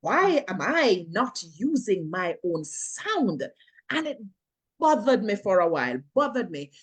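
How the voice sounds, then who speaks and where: A woman's voice: mid-range voice, very animated, measured pace, husky. A middle-aged woman with a Jamaican accent, chatting animatedly as an interview guest, laughing often and slipping into patois. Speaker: filmmaker and actress, guest. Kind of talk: casual interview chat.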